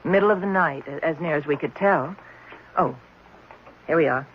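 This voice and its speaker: A woman's voice: low voice, normal speed. An actress playing a stern, matter-of-fact woman who runs an orphanage in a 1970s radio drama. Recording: muffled, noisy vintage radio recording.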